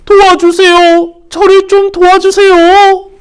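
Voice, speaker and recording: Storybook role: woman playing a high, drawn-out pleading blind beggar man. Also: little pitch movement. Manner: loud, drawn-out pleading cries.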